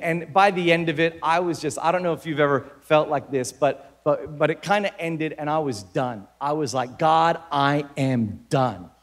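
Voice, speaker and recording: A middle-aged man with an American accent, raised in the Philippines, preaching a sermon with jokes and sudden loud bursts. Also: a little high. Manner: animated, jokey, bursts into shouts. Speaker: pastor. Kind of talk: sermon with comic storytelling.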